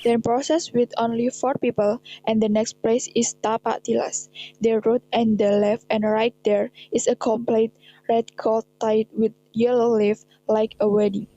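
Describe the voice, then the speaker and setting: A woman's voice: on the high side, level tone, measured pace, dark tone, lo-fi sound, a little hiss or hum. A young woman with an Indonesian accent reading scripted horror drama narration in non-native English. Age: young adult.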